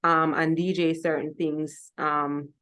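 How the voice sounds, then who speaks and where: A woman's voice: deep voice, flat delivery, slow, fairly bright tone. A woman with a Jamaican accent hosting a music talk show in a conversational style.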